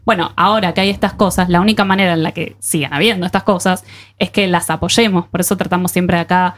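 A woman's voice: fairly low pitch, steady tone, fast. A woman with an Argentine accent hosting a show in a casual, colloquial and encouraging conversational way. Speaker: radio show host.

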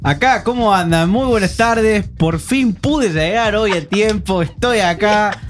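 A man with a Córdoba Argentine accent chats on community radio as a co-host. His voice sits a little high, and his cheerful talk rambles with fillers. Faint music plays behind him. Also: a little slow. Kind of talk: casual radio chat.

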